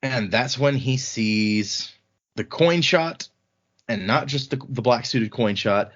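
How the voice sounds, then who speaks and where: A man's voice: lowish voice, a bit bright, muffled recording. A man hosting a podcast, retelling a fantasy novel in casual, colloquial language with wry asides. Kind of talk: casual chat, informal book recap.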